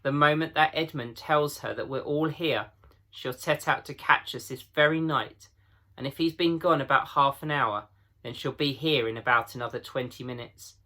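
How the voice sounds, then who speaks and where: A man's voice: mid-range voice, normal speed, sharp tone. A man voicing a practical, anxious female beaver in a storybook reading, reasoning carefully and with emphasis. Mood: urgent.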